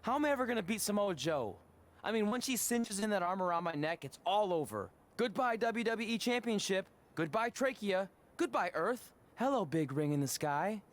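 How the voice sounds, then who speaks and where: A man's voice: high voice, animated, normal speed. A man voicing an anxious, goofy young wrestling champion in a video game cutscene, self-deprecating and earnest. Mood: panicked, despairing.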